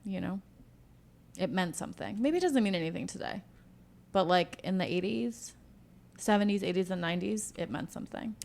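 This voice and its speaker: A woman's voice: medium pitch, lively intonation, normal speed, creaky, a little mellow. A woman co-hosting a casual podcast chat, giving blunt opinions in short, declarative phrases. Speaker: podcast co-host. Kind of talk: casual conversational political chat.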